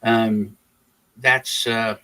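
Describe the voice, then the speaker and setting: A man's voice: fairly low pitch, flat delivery, extremely slow, pushed and bright, faint music behind, low-quality recording. A man from Texas who grew up partly in Germany, chatting casually as a podcast host in a rambling way, often restarting his phrases. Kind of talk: casual political chat.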